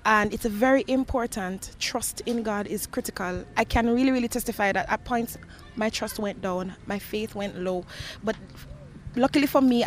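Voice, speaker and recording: A young woman with a Jamaican accent giving earnest, reflective answers in an interview, as a gospel singer. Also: medium pitch. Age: young adult. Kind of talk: interview answers, personal testimony. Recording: noisy location with ambient sound.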